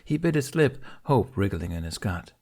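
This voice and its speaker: A man's voice: lowish voice, sing-song, normal speed, darkish tone. A man reading a story aloud as scripted audiobook narration.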